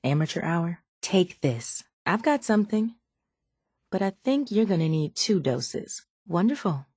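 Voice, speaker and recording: Game role: sardonic, calculating female raider scientist, slightly low register, cool measured delivery, very dry studio sound. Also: darkish tone, no room sound. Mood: brisk, dismissive. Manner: dry, measured, faintly condescending. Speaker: video game character voice actor. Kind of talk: scripted video game dialogue.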